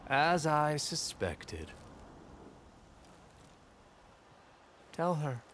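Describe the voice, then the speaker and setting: An actor playing a grandiose, self-assured leader of a cult-like order in a video game, pompous, theatrical and deliberate. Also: male voice, on the high side, big pitch swings, a bit bright, slight background noise, heavily acted. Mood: regal, composed. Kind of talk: video game cutscene acting.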